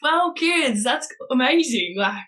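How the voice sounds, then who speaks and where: A woman's voice: high voice, lively intonation, slow, fairly bright tone. A woman hosting a podcast, upbeat and enthusiastic, with frequent fillers like "like" and "so". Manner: bubbly, enthusiastic, heavy use of "like" and "so". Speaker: podcast host.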